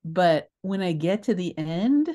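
An older woman telling stories as an interview guest in a casual, animated, emphatic way, often interrupting herself and digressing. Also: lowish voice, measured pace. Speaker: interview guest, theatre creator.

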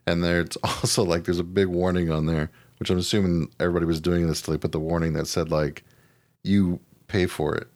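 Deep voiced guy hosting a podcast, slangy joking chat, swears, dry sound no room. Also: level tone, fairly quick, a little mellow, dry recording. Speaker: podcast host. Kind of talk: casual news chat with a co-host.

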